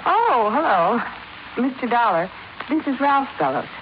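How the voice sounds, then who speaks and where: Worried peacemaker wife, gently scolding, fifties radio drama, hissy muffled sound. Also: female voice, on the high side, big pitch swings, unhurried. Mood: gently chiding. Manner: conciliatory, gently chiding. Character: anxious, placating wife of an overbearing rich man. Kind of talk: 1950s radio drama acting. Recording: noisy muffled 1950s radio recording.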